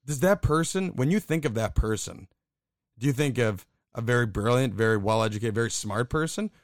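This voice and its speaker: A man's voice: mid-range voice, expressive, brisk, no room sound, studio quality. A young man from the Buffalo, New York area, co-hosting a casual podcast and telling stories candidly, swearing now and then. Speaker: podcast co-host.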